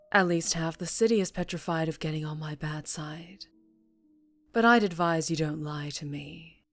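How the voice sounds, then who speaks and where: A low-voiced female mafia boss played by a woman takes her time with every threat. She is menacing and teasing toward her captive, often sinking into a breathy whisper in an intimate ASMR roleplay, with faint music underneath. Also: exaggerated voice. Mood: cold, probing. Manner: slow, deliberate, often dropping into breathy whispers.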